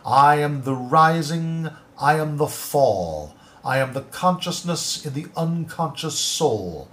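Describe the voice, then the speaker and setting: A man giving a dramatic poetry recitation in a declamatory voice, moving between loud proclamations and hushed lines. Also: on the high side, animated, slow.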